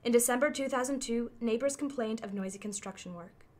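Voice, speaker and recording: A woman's voice: fairly high pitch, brisk, a little mellow, natural voice. A woman playing an impersonal machine intelligence in a radio play, reporting findings in a formal, precise, matter-of-fact way. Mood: clinical, matter-of-fact.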